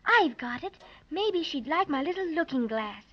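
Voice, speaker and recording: A woman's voice: high voice, lively intonation, moderate pace. An actress playing a plucky, earnest little girl in a 1930s children's radio play. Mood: plucky, firm. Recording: dull lo-fi 1930s radio recording.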